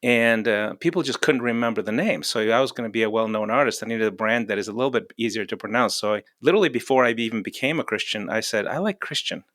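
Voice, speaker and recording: A man's voice: lowish voice, quick pace, few pauses, a bit bright, no echo, clean studio sound. A man from Russia, a guest on a podcast, talking eagerly with stammered restarts. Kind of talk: podcast interview.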